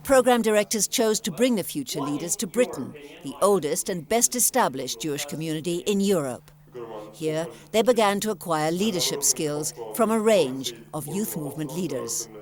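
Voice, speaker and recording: A woman reporter reading measured, scripted narration for a news feature. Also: on the low side, expressive, crowd noise.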